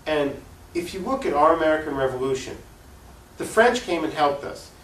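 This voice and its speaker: A man's voice: on the high side, animated, moderate pace, fairly bright tone. Man, activist interview guest, emphatic, opinionated, unscripted argument, echoey room, distant mic. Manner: opinionated, emphatic, poses rhetorical questions. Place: room recording for a cable access show.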